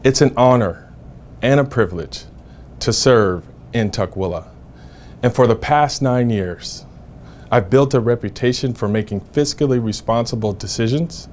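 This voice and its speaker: A man who sits on the city council, asking to be re-elected with measured, deliberate phrasing. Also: medium pitch, a little mellow, slight background noise. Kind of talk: campaign statement to camera.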